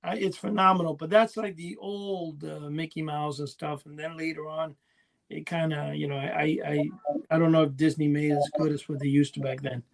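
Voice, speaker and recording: A man's voice: fairly high pitch, level tone, brisk, gravelly. A man hosting a casual podcast chat, telling rambling, enthusiastic stories. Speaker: podcast host.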